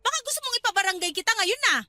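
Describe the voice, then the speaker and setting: Casting: scornful scolding aunt, Filipino radio drama, very high, bright, confrontational delivery. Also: female voice, expressive, very fast, exaggerated voice. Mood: angry, scornful. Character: hostile, scolding aunt guarding her house.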